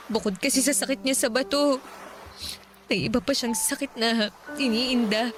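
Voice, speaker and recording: A woman playing a gentle young student in a radio drama, speaking with a worried, hesitant, polite manner. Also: fairly high pitch, noisy background, close to an everyday voice.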